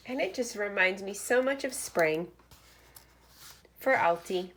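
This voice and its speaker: Female read-aloud teacher for young children: expressive storyteller, unhurried pace, lively melodic range. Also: mid-range voice. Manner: expressive, deliberate storytelling with short phrases and asides. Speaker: teacher reading aloud to first graders.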